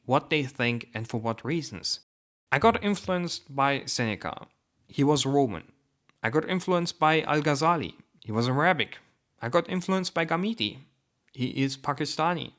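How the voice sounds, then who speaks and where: A young man from Germany whose first language is Turkish, reading a scripted argumentative essay in a measured voice as a YouTuber. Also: mid-range voice, fairly bright tone.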